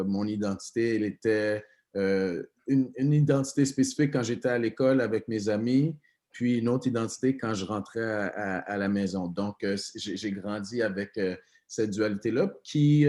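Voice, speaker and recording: A middle-aged man from Benin who grew up in Canada, talking reflectively and haltingly, often repeating words, as a panel guest. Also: fairly low pitch, dark tone. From born in Benin, grew up in Canada. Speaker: hip-hop and street dance artist, panel guest. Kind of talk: reflective unscripted talk.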